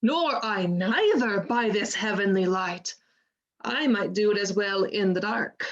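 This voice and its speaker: A woman's voice: medium pitch, unhurried, dull audio. A woman playing a worldly, outspoken lady's maid in a Shakespearean radio play, with a wry, frank manner. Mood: wry, teasing.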